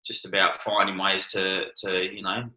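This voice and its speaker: A man's voice: low-pitched, completely flat delivery, moderate pace, bright, forceful tone, roomy sound, rough recording. A man with an Australian accent answering interview questions, hesitant, with many restarts and ums. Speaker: interview guest, former cricketer.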